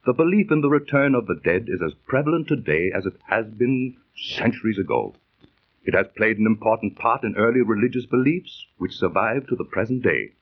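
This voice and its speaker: A slightly muffled old radio recording features an earnest seafarer with a scientific bent. His mid-pitched voice tells the yarn in formal, dramatic phrases with lively inflection. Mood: earnest storytelling. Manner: formal, dramatic, deliberate diction. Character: earnest, scientific-minded seafaring storyteller, Mister Morton. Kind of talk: 1930s radio acting.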